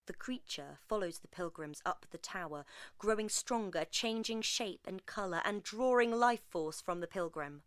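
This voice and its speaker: A woman's voice: medium pitch, normal speed, dry recording, clean studio sound. A woman reading a scripted narration about creature lore.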